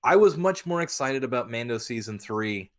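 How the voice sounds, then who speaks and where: A man co-hosting a podcast, chatting casually and giving opinions in a halting, blunt way with some swearing. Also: mid-range voice, expressive, a bit bright. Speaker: podcast co-host.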